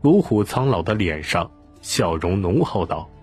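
A man's voice: deep voice, very animated, unhurried, darkish tone, soft background music. A man narrating an audiobook as dramatic scripted storytelling.

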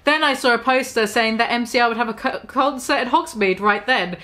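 A woman in her twenties reads fan fiction aloud in a fairly high voice. Her delivery is mock-dramatic and exaggerated, with a sarcastic edge, as a vlogger performing to camera in a small room. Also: a little fast, sharp tone. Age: 20s.

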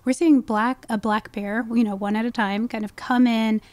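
Woman, higher-pitched voice, podcast guest expert, conversational explaining, frequent asides, very dry sound. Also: fairly even intonation, moderate pace, a little mellow, no room sound. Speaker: guest wildlife ecologist.

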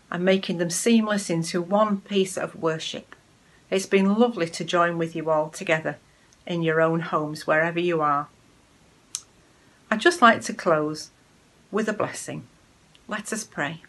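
Female, middle-aged, church worship leader giving thanks and blessings. Pitch a little below average. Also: moderate pace, fairly bright tone. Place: living room at home.

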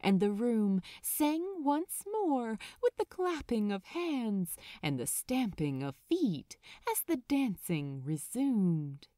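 A woman narrating a story in a dramatic, deliberate way, with emphatic pauses. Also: fairly high pitch, big pitch swings, mellow, dry recording, clean studio sound. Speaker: audiobook narrator of fan fiction. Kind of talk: expressive scripted story narration.

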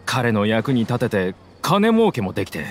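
A man's voice: mid-range voice, sing-song, normal speed, a little hiss or hum, close to an everyday voice. An actor playing a nervous, evasive young male smuggler in a game dub, pleading and dodging blame. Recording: game audio with loud music behind.

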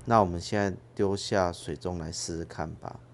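A man's voice: sits low, fairly even intonation, normal speed, a little hiss or hum. A man hosting a fishing channel, explaining his rig step by step in a plain casual way outdoors at a harbour. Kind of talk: casual how-to narration.

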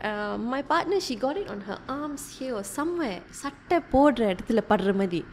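Young woman guest, rapid animated code-switching chat, faint room noise. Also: high-pitched. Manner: fast, animated, mixing Tamil and English, many fillers. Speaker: tour guide guest. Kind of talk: casual podcast conversation. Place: small indoor room at a table. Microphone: desk podcast mic.

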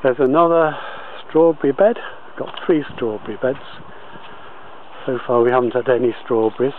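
A man giving an informal video tour commentary outdoors as a gardener, pausing often mid-sentence. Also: medium pitch, fairly even intonation. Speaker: allotment gardener filming a tour. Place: outdoors on an allotment. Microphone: handheld camera held by the speaker. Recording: muffled lo-fi camera audio, outdoor noise.

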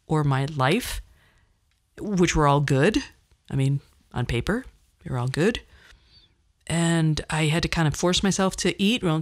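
Low-voiced woman telling wry personal stories. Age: 50s. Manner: conversational, wry, self-deprecating. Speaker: podcast host. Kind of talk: personal storytelling monologue.

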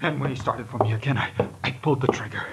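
A man playing a shaken, panicky man with a halting, agitated delivery in a 1950s radio drama. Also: mid-range voice, creaky, background noise, natural voice. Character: shaken, panicky man who shot in self-defense. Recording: old 1950 radio recording.

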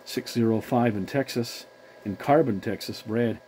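A man chatting as a CB radio operator, courteous and measured, using formal call-sign procedure. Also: mid-range voice, a little mellow, slight background noise. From Newfoundland, Canada.

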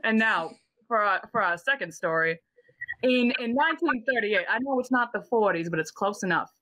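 A woman's voice: mid-range voice, lively intonation, moderate pace, a bit bright, no room sound, poor audio. A young woman hosting a chat show on a video call in a playful, mock-formal, theatrical way. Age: young adult. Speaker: podcast host. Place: indoor room at home, video call.

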